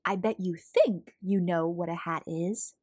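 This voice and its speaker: A woman reading a children's picture book aloud as a storytelling host, in an expressive manner for young listeners. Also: fairly low pitch, unhurried, faint music behind. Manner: animated, clearly paced storytelling for young children.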